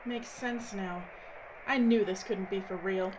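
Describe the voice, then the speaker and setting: An actress playing a tough, bossy crew member in an audio drama, curt and commanding. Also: female voice, fairly even intonation, unhurried, natural voice. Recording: muffled, very noisy ambience, vehicle rumble.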